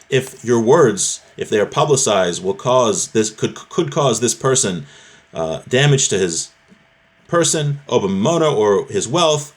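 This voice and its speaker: This is a man with a mid-pitched voice giving an informal religious lesson. He reads from a text and then explains it in a conversational way. He often goes back over key phrases to make the point plain. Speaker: religious teacher, rabbi.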